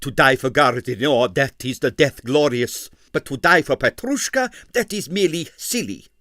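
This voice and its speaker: A man's voice: medium pitch, expressive, moderate pace, pushed and bright, no room sound, studio quality, voice pushed up. A man voicing a vain, petulant male choreographer speaking broken English.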